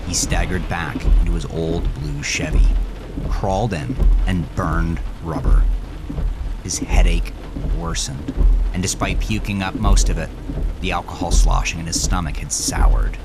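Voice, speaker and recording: A man's voice: deep voice, fairly even intonation, a little slow, over loud music. A man narrating a horror story in an intense, grave, dramatic way. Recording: loud horror score with rain behind.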